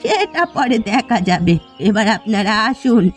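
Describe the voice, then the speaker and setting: Cartoon role, actress: old village fisherwoman, high-pitched, firm, wary and defiant, soft music underneath. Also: very animated, measured pace. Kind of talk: Bengali cartoon story voice acting.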